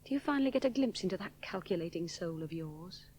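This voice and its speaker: A woman's voice: fairly low pitch, expressive, fast talker, a little mellow, slight background noise, close to an everyday voice. An actress playing a young woman aviator alone over the sea, musing inwardly, often dropping to a whisper. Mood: brooding. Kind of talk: 1970s radio drama inner monologue.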